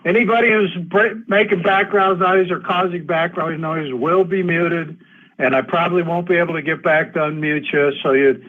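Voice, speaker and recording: Halting man on a tinny phone line. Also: on the high side, fairly even intonation. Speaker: conference call host and moderator. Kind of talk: informal, halting meeting talk. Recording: tinny, phone-line group conference call.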